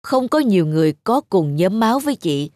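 A woman's voice: mid-range voice, sing-song, brisk, a little mellow, dry recording, studio quality, close to an everyday voice. Woman voicing a grave, cautious male doctor. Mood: grave, concerned. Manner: serious, frank.

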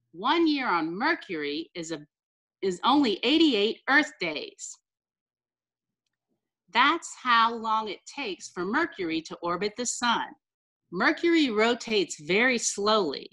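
A middle-aged American woman, a teacher reading a picture book aloud to children with careful, deliberate enunciation over a video call. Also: mid-range voice, sharp tone. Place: small room indoors, on a video call.

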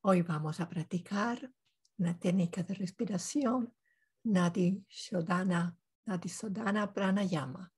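A woman leading a guided meditation, giving calm instructions in short phrases with pauses between them. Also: fairly low pitch, slightly rough.

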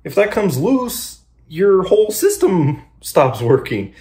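A man's voice: high-pitched, big pitch swings, slow pace, mellow, breathy edge. A young man narrating a DIY car vlog casually and informally, thinking aloud with mild exasperation. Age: young adult.

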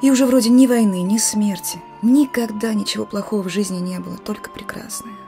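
A woman playing a young female partisan sentry in a radio drama, blunt and colloquial, gruff yet dreamy. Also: mid-range voice, a little fast, over music, close to an everyday voice. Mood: dreamy, yearning. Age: young adult. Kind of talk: Russian radio drama acting.

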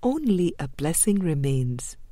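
A woman reading a spiritual book aloud in a measured, deliberate way, pausing between short phrases. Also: deep voice, very animated, warm tone, no echo. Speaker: audiobook reader.

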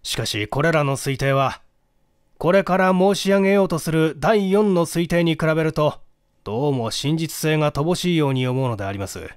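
A man's voice: on the high side, moderate pace, clear, resonant tone, no room sound, clean studio sound, close to an everyday voice. A man voicing a methodical police detective who explains deductions formally in a dramatic audiobook reading. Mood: explanatory, measured.

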